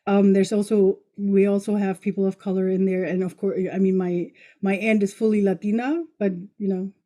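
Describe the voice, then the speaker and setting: A woman hosting a podcast in casual conversation, with informal, halting phrasing as she rewords herself. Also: medium pitch, level tone, dark tone. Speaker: podcast host.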